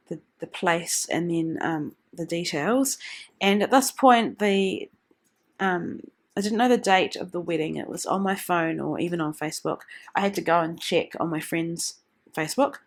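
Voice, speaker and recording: Nasal woman recovering from flu. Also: fairly low pitch. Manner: chatty, meandering, with many ums. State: nasal, getting over the flu. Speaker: scrapbooking vlogger. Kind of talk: casual voice-over narration of a craft process.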